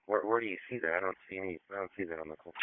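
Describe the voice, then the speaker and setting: A man's voice: low voice, very fast, narrow, tinny audio. Male police officer on two-way radio.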